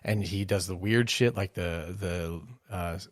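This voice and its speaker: A man's voice: sits low, unhurried, dry recording, studio quality. A man in his forties hosting a podcast, laughing often and talking with many fillers and hesitations. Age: 40s. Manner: giggly, hesitant, full of fillers. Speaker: podcast host. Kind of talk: casual interview chat.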